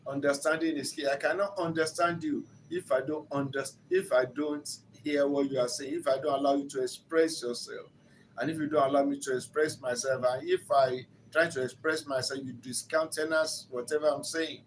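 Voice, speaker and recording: A man's voice: on the high side, steady tone, moderate pace, slight background noise, poor audio. An older man with a Nigerian accent and Yoruba as his first language, giving an earnest, teaching-style talk as a relationship counselor that builds to emphatic rises.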